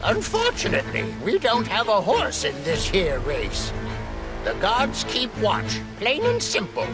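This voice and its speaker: Very high-pitched old god. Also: male voice, huge pitch swings, unhurried, loud music behind the voice, full character voice. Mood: grave, worried. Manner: folksy, dramatic. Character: fussy, elderly male god watching over the world. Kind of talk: English anime game dub acting.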